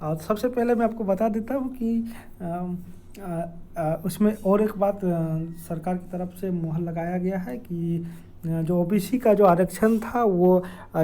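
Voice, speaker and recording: A man with a Jharkhand accent giving an informal explainer in a halting, hesitant way, with many filled pauses and repeated words. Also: high-pitched, dark tone. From Dumka district, Jharkhand, India. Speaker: educational video creator. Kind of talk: informal explainer with screen walkthrough.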